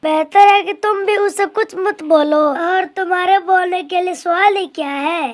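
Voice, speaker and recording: Someone voicing a very high-pitched earnest pigeon husband. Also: unhurried. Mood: annoyed. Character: young male pigeon husband, earnest, loyal to family. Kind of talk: Hindi cartoon voice acting.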